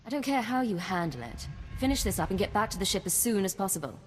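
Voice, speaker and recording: An actress playing a composed, businesslike imperial agent in command, direct and matter-of-fact, in video game dialogue. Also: female voice, mid-range voice, sing-song, fast, long reverb tail, faint music behind, natural voice.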